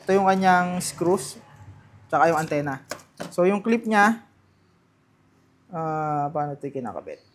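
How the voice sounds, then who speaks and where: A man with a Filipino accent giving casual, unscripted unboxing commentary, halting and thinking aloud as he handles things. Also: fairly high pitch, lively intonation. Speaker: vlogger presenting product unboxing.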